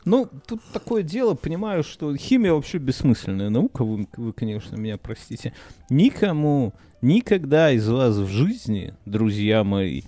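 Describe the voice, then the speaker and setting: Animated sarcastic man, dry close sound. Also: mid-range voice, normal speed, no room sound. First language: Belarusian. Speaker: podcast co-host. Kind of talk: casual chat.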